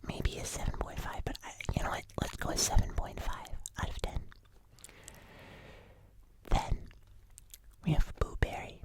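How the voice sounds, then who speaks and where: An ASMR host giving a casual food review in a breathy whisper, often pausing to correct themselves.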